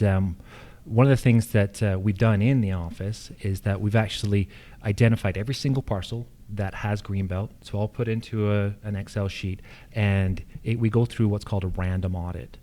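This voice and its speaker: Brief: American man, low pitch, very soft mellow tone, halting explanatory delivery as a political candidate answering questions. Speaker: incumbent candidate for county assessor. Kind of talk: extemporaneous answers at a candidate forum.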